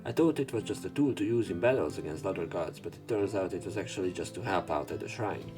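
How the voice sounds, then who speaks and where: A man's voice: sits low, expressive, quick pace, music in the background. A man hosting a let's play, reading a visual novel's narration and dialogue aloud.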